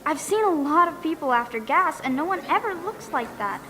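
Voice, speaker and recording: A woman's voice: high-pitched, lively intonation, brisk, sharp tone. A woman playing an earnest, insistent young wife and dental assistant with suspicions, in an audio drama performance. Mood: suspicious. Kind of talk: stage audio drama acting.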